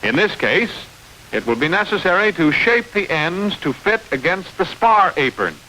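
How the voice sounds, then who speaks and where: A man reading scripted instructional narration for a training film, explaining step by step in a clear manner. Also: high voice, sing-song, normal speed, bright, forceful tone, rough recording. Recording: hissy old training film soundtrack.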